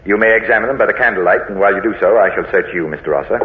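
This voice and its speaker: An actor playing a wary, blunt gentleman serving as a duelist's second in a 1940s radio drama. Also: fairly low pitch, rapid-fire, natural voice. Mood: formal. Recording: tinny, narrow-band old radio recording.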